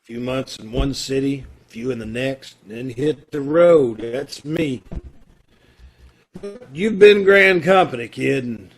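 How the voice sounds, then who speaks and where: A man's voice: medium pitch, a little slow, faint music behind. A man playing a brooding, self-loathing drifter in an old time radio drama, with blunt, slangy tough-guy talk. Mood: bitter, resigned.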